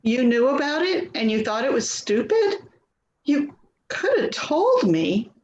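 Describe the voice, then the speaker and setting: A woman's voice: high voice, sing-song, a little slow, darkish tone, highs cut off, slight background noise. A woman playing an anxious, hurt grown daughter in a dramatic play reading. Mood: dismayed.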